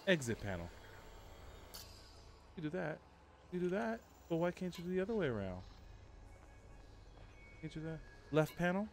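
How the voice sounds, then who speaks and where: A man livestreaming a game in a casual, halting way, thinking aloud and giving short voice commands. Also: fairly high pitch, sing-song, gravelly, slight background noise.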